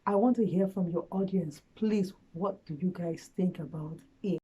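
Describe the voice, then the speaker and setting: A woman giving relationship advice in a casual, earnest vlog chat, her voice hoarse from a cold. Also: lowish voice, moderate pace, mellow, muffled recording. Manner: chatty, earnest, frequent fillers. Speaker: relationship vlogger. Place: at home late at night.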